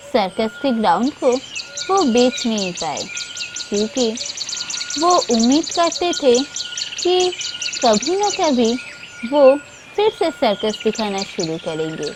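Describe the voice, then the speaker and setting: High-voiced, lilting woman storyteller. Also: background noise. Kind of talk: scripted children's story narration. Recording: loud birdsong and ambience behind.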